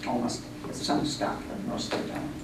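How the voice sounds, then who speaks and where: A woman leading an informal poetry discussion, talking through the text and putting questions to the group. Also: unhurried. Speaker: discussion leader. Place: indoor room at a senior center. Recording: very echoey room, distant mic, loud noise.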